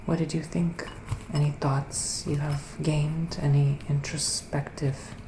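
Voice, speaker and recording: A man doing a soft-spoken, partly whispered ASMR roleplay as a psychic witch of the woods, with mystical, theatrical phrasing. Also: fairly high pitch, flat delivery, takes it slowly. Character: psychic male witch living in the woods, giving readings. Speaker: ASMR performer playing a psychic reader. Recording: roomy echo with faint background noise.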